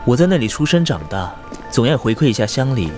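Composed, courteous doctor played by a man, lively intonation. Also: medium pitch, quick pace, faint music behind, lightly acted. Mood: polite, composed. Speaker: voice actor. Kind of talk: Chinese audio drama acting.

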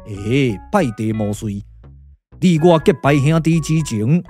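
A man with a Taiwanese accent voicing a solemn, calculating sage strategist in a puppet radio drama, speaking slowly, deliberately and persuasively. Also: mid-range voice, lively intonation, dark tone, faint music behind. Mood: earnest persuasion. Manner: slow, deliberate, weighty delivery.

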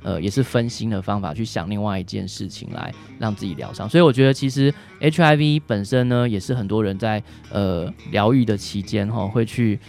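Male doctor as radio guest, low and gravelly, Taiwanese Mandarin accent, hesitant explainer. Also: fairly quick, hardly any pauses, darkish tone, faint music behind. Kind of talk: explanatory interview answers on a radio show.

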